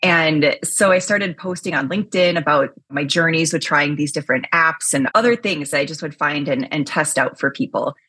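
A loud, energetic woman talking as a podcast guest, full of casual fillers and quick asides. Her voice sits low and sounds a little husky. The recording is close and dry. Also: no room sound. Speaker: podcast guest, chief risk officer. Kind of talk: casual interview chat.

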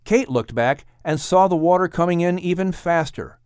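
A man reading a children's audiobook as narrator, in an expressive storytelling style. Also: high voice, moderate pace, clear, resonant tone, no room sound, clean studio sound.

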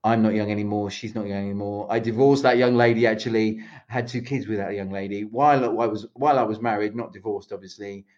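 A man's voice: on the low side, fairly even intonation, quick pace, few pauses, darkish tone, dull audio. A middle-aged British man from London, a speaking coach, chatting as a podcast guest over a video call and rambling with restarts. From England. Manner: rambling, many restarts and self-corrections. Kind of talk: casual interview chat over video call.

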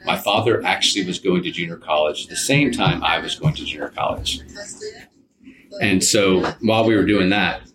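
A man in his fifties answering interview questions conversationally, haltingly, often repeating words and restarting his sentences. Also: deep voice, background noise. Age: 50s.

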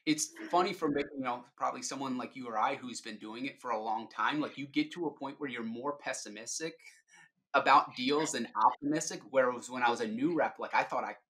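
A man's voice: mid-range voice, brisk, sharp tone, poor audio. A middle-aged man giving informal, explanatory answers as a sales leader being interviewed on a podcast.

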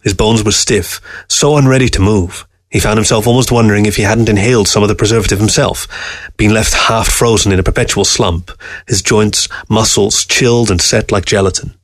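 A man narrating a scripted short story in a measured, literary delivery. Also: fairly low pitch, steady tone, no room sound. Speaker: story reader.

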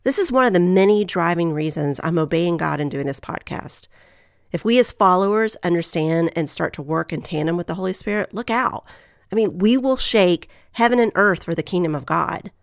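A woman hosts a faith podcast in an earnest, encouraging way, her voice nasal from a lingering head cold. There is a gravelly rasp under her somewhat low voice. The recording is close and dry, with no room sound. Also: animated, normal speed, dry recording. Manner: earnest, encouraging, reads scripture aloud. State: getting over a head cold. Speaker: podcast host.

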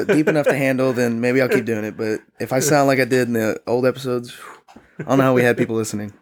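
A young man chatting hesitantly on a podcast, halting and soft-spoken as he talks things through. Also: medium pitch, steady tone. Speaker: returning podcast guest. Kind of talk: casual podcast chat.

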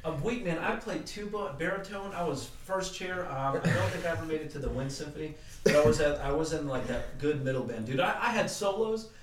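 A young man with a mid-range voice who talks fast and eagerly, with plenty of swearing. He sits back from the mic, and his voice bounces around an echoey room. It sounds like a casual chat between friends. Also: fairly high pitch, recorded from a distance, a little hiss or hum. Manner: quick, enthusiastic, heavy swearing. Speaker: podcast co-host.

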